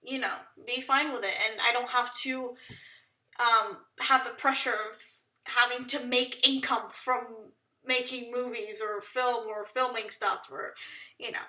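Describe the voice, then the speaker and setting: Rambling high-voiced young woman, echoey room. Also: steady tone, unhurried, pushed and bright, distant mic. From Portugal. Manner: rambling, self-conscious, laughs often. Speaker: vlogger. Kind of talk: rambling casual monologue.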